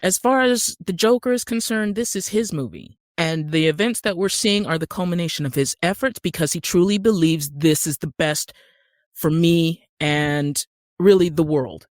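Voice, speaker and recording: Low-key American woman in a dry studio. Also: normal speed, no room sound. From Utah, United States. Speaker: guest, actor and screenwriter. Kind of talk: conversational podcast interview.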